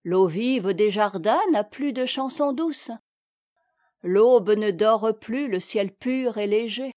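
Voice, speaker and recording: A woman's voice: mid-range voice, slow pace, a little mellow, no room sound, clean studio sound. A woman reciting poetry as a volunteer reader.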